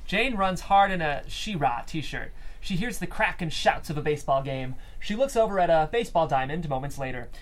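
A woman's voice: low-pitched, expressive, normal speed, hardly any pauses. A woman reading stage directions as scripted narration at a table read.

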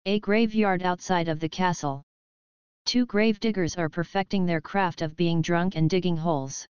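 A woman's voice: lowish voice, normal speed, darkish tone, clear, resonant tone, no room sound, muffled recording. A woman reading stage directions as the scripted narrator of an audio play.

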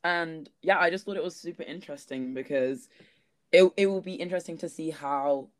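A man with a slightly hoarse, worn voice, talking casually as a guest with many fillers and little laughs. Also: on the high side, fairly quick, no echo. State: losing his voice. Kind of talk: casual discussion.

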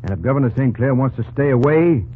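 An actor playing a plain-spoken, steadfast young American frontier husband in an old radio drama, with a folksy, measured delivery. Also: male voice, mid-range voice, expressive, a little hiss or hum, natural voice. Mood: resolute. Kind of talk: 1940s-50s radio drama acting. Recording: muffled vintage radio recording with music.

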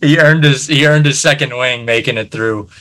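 Bright-voiced easygoing male host. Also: mid-range voice, moderate pace. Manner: friendly, easygoing interviewer. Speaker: podcast host. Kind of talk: casual podcast chat.

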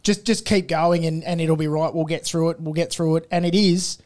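A man's voice: on the high side, steady tone, normal speed, darkish tone. An Australian man co-hosting a podcast, matey in manner and swearing casually. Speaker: podcast co-host.

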